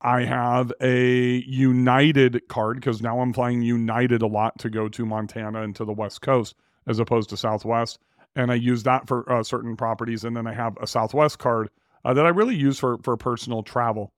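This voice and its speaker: A man hosting his own show, talking casually and in a rambling, self-correcting way with a slightly raspy, tired voice. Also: mid-range voice, level tone, moderate pace, dry recording, clean studio sound. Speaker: podcast host. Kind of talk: casual solo talk on real estate finance.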